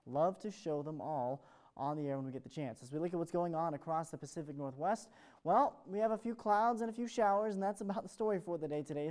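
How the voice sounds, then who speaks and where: A man's voice: fairly high pitch, darkish tone. An American man presenting an ad-libbed television weather forecast in an upbeat, chatty way.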